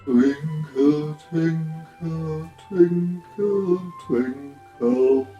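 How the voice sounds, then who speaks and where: A drowsy little mouse, hoarse, high-pitched, slow, storybook creature, roomy echo. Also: male voice, dark tone, dull audio, faint music behind. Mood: sleepy singing. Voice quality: hoarse, feeble.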